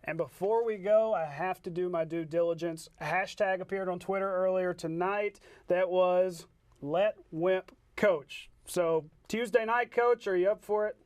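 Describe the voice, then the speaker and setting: A man with a notably high voice presents a live TV sports show. He talks in a breezy, conversational way, mixing segment links with joking banter. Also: fairly even intonation, unhurried, darkish tone, no echo.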